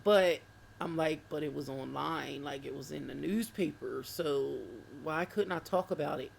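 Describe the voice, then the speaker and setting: A woman's voice: deep voice, a little slow, vocal fry, slight background noise. A woman in her forties co-hosting a casual chat, speaking earnestly and quoting past conversations. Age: 40s. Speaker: co-host.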